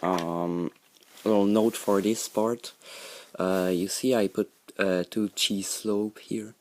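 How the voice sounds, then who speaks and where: A man's voice: fairly low pitch, steady tone, takes it slowly, a little mellow, slight background noise. A young man with a French Canadian accent, a native French speaker, hesitantly explaining a handmade project in a casual show-and-tell video, with many ums and restarts. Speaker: hobbyist video maker.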